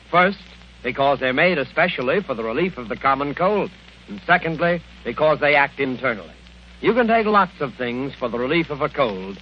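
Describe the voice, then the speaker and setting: A muffled, faintly hissy old broadcast carries a male announcer. His voice is fairly high, and he reads with emphatic, repetitive salesmanship in 1940s radio advertising style.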